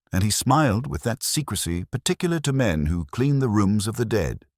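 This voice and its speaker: A man narrating a literary detective story in the first person as a world-weary inspector, measured, reflective and melancholy. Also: extremely low pitch, breathy edge, dry recording, clean studio sound.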